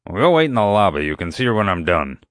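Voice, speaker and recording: A man puts on a gruff, crotchety animal-park vet, prickly and quick to growl back. He speaks a little higher and louder than the narrator's own low voice, close and dry. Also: sing-song, dry recording.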